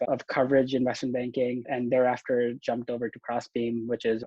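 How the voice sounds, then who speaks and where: A man's voice: mid-range voice, dark tone, no room sound. Young man, muffled lo-fi call audio. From Bangladesh. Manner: fast, restarts phrases often. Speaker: venture investor guest. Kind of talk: casual podcast interview.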